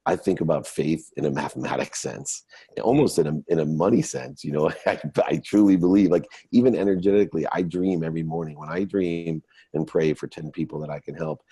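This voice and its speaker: A man's voice: very deep voice, warm tone, breathy edge, no echo. A man of fifty giving earnest, emphatic motivational answers as an interview guest. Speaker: guest, motivational entrepreneur and speaker.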